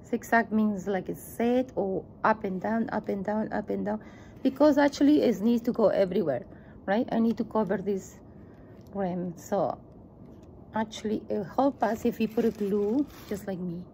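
Middle-aged woman, non-native English, children's craft host; mellow voice, faint room hiss, camera on the table. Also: mid-range voice, normal speed, creaky. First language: not English. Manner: patient, encouraging, repeats herself. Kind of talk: step-by-step craft lesson for kids. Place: indoor room with table, at a desk.

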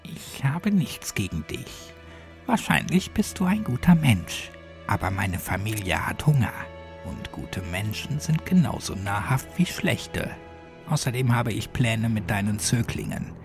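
A man's voice: lowish voice, big pitch swings, unhurried. A vengeful little girl from a horror audiobook, acted out by a male narrator. She puts on sweet innocence with cold menace underneath. Music plays behind the voice. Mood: cold whispering menace. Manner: feigned innocence, dark threatening undertone.

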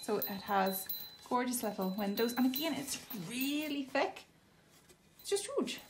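A woman's voice: a little high, lively intonation, a little slow, a little hiss or hum. A woman, enthusiastically demonstrating wooden toys in a toy shop in casual chat. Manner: enthusiastic, upbeat, laughs often. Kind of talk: casual product demo chat.

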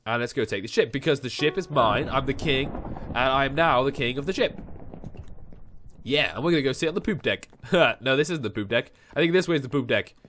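A young man with a nasal, stuffy voice from a cold, giving excitable Minecraft commentary on a headset at home. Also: mid-range voice, brisk, a little hiss or hum. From England. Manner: excitable, yelps and shouts when chased. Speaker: gaming YouTuber. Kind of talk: Minecraft let's play commentary. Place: home room.

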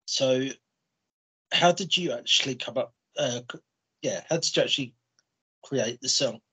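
A man's voice: mid-range voice, normal speed, bright, forceful tone, breathy edge. A young man hosting an interview over a video call, speaking hesitantly with lots of fillers. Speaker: radio host interviewer. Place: small room indoors. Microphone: headset on a video call.

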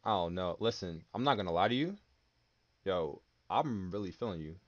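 A man's voice: sits low, animated, moderate pace, dull audio. Teasing young man from New Jersey.

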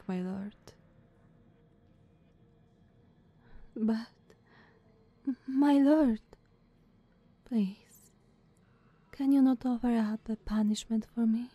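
A woman acts out a loyal female knight for an ASMR roleplay. She is mellow and unhurried, with formal, breathy, hesitant phrasing that carries guilt and tenderness. The sound is close and dry. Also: fairly high pitch, dry recording, highs cut off. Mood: pleading. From Slavic country. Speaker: audio roleplay performer.

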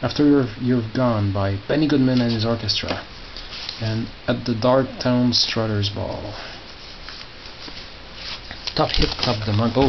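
A middle-aged man giving casual, halting commentary as he reads out titles and mutters asides. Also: creaky. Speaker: record collector showing his collection. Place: small tiled indoor room by storage crates. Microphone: handheld camera held by the speaker. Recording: muffled, noisy low-grade camera audio with clicks.

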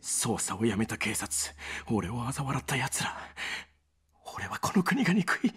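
A man's voice: mid-range voice, big pitch swings, a little slow, noisy background. An actor playing a bitter, wounded young male gang leader in a Japanese game drama, brooding and intense. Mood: grim, sorrowful. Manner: intense, brooding, breaking into anguished shouting. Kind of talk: Japanese video game cutscene acting.

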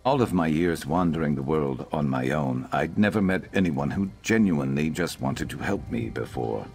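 An actor playing a gruff, vengeful lizard-man companion in a video game, wry but earnest, speaking in a measured, brooding way. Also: a bass voice, animated, a little mellow. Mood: earnest, grateful. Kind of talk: video game character dialogue.

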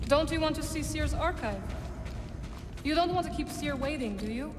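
A young, self-possessed adventurer in a video game. Her voice is high-pitched and her delivery terse, wry and direct. Clear background noise surrounds her speech. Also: animated, normal speed. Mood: wry, teasing. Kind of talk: video game cutscene acting.

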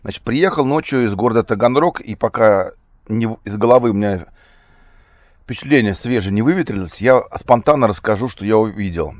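A man talks off the cuff in a fairly low voice, slightly hoarse with a cold. His delivery is casual and halting, with corrections along the way. The sound is dry and somewhat muffled. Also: animated, no room sound. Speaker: vlogger. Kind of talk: casual spoken monologue. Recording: dull, muffled lo-fi recording.

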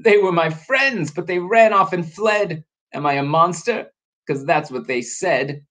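A man's voice: very high voice, huge pitch swings, moderate pace, a bit bright, breathy edge, voice pushed up, heavily acted. A man voicing a pompous, fretful waffle baron in a storybook, with a blustering, dramatic manner. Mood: tearful.

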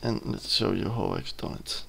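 A young man in his twenties, a native Dutch speaker with a Dutch accent, hesitantly talking through a screen-recorded software tutorial, with many ums and self-corrections. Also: low voice, huge pitch swings, moderate pace, creaky, a little hiss or hum. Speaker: student giving a tutorial. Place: at a computer, indoors.

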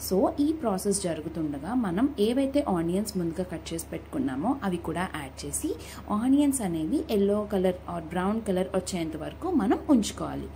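A young woman with a Telugu accent walks her viewers through a home recipe in a friendly, chatty voice. Her voice sits low for a woman and is mellow and soft-edged, yet her pitch rises and falls a lot. A faint hum from the home kitchen sits behind her. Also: big pitch swings, brisk. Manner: friendly, mixes English words into Telugu, talks to viewers. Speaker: cooking vlogger. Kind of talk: casual recipe narration.

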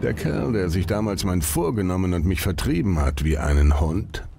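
A man's voice: deep voice, expressive, moderate pace, gravelly, over music. A man playing a mocking, foul-mouthed gang boss in a game, with a condescending, sardonic drawl. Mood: mocking, contemptuous.

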